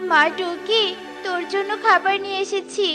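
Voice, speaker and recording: A woman's voice: extremely high pitch, fairly even intonation, moderate pace, over music. A woman voicing a caring, resolute mother bird in a children's cartoon, earnest and motherly. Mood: caring, determined.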